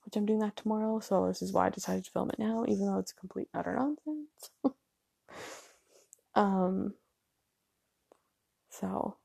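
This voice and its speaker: A woman's voice: medium pitch, unhurried, creaky, long pauses, warm tone, breathy edge, no echo. A young woman from Canada with a stuffy nose, rambling casually at home with lots of fillers and apologies. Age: young adult. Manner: chatty, self-deprecating, filler-heavy with ums and likes.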